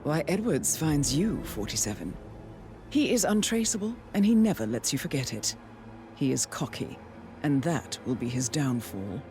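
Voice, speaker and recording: A woman playing a cool, calculating intelligence handler in a video game, with measured, formal, cryptic phrasing and an undertone of regret. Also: sits low, very animated, over music. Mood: resolute. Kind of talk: cinematic video game acting.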